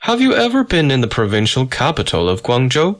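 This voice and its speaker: A man's voice: mid-range voice, wildly swooping pitch, a little fast, pitched above the performer's own voice. A man voicing a curious bystander in a storybook reading, asking questions. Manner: inquisitive, questioning.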